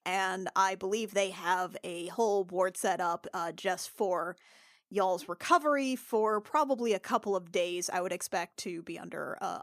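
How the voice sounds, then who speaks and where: A woman's voice: mid-range voice, no echo, studio quality. A woman playing a tabletop role-playing game, speaking in character in a polite, hesitant way. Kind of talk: improvised in-character game dialogue.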